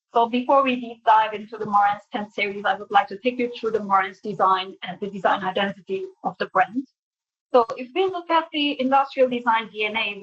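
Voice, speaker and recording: A woman's voice: fairly high pitch, a bit bright. A woman giving a prepared design presentation as a creative director over a video call. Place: bright indoor room on a video call. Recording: dull, lo-fi video call audio.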